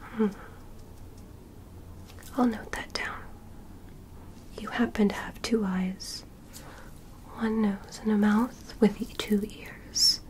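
A young woman playing a calm, curious female alien medical examiner in an ASMR roleplay, in a soft, breathy whisper with a gentle clinical manner. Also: mid-range voice, close to an everyday voice. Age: young adult. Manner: slow, gentle, clinical, politely instructing. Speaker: ASMR performer. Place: small bedroom set, indoors. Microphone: close microphone.

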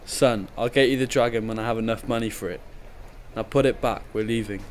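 A man's voice: mid-range voice, fairly even intonation, fairly quick, close to an everyday voice. A man playing a firm, scolding father in a radio drama. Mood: impatient. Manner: firm, reproving. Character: stern, scolding father of a young boy. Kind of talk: amateur radio drama acting. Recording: slight background noise.

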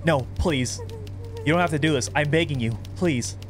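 A man's voice: moderate pace, faint music behind, natural voice. A man voicing a frightened captive woman from a horror game, pleading desperately. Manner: pleading, higher-pitched. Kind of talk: reading horror game dialogue aloud.

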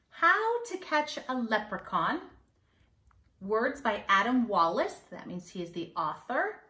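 Bright-voiced woman teacher in a roomy space. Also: mid-range voice. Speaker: teacher reading to pupils. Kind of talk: explaining to young children. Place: living room at home. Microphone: camera a few feet away. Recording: roomy home recording, slightly distant camera.

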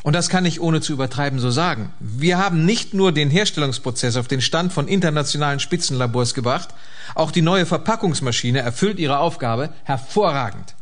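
A man's voice: fairly high pitch, lightly acted. An actor playing a confident fixer reporting to his boss in a radio play, assured and matter-of-fact. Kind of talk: German radio play acting.

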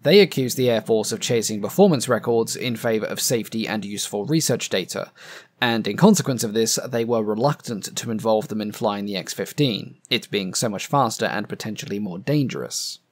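A man narrating a scripted aviation history documentary in a measured, earnest way, his voice a bit uneven from having lost it. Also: on the low side. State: voice a bit up and down from losing it.

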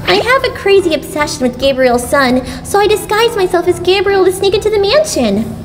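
Woman playing an earnest schoolgirl, very high-pitched. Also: sing-song, fairly quick, background noise. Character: earnest, awkward, scheming, lovestruck schoolgirl. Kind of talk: cartoon comic dub acting. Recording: long reverb, music behind.

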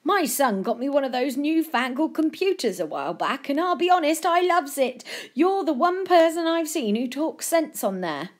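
A woman's voice: high voice, normal speed, voice pushed up. A woman voicing an eager, chatty old man, a folksy star-struck fan in a children's story. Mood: eager, admiring. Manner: folksy, excitable.

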